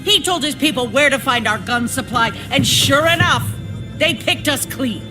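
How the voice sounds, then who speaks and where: An actress playing a cold, ruthless survivor town leader in a video game, theatrical, menacing and mock-sincere. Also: female voice, high voice, lively intonation, normal speed, over music. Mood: stern, vindictive. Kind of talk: dramatic video game acting.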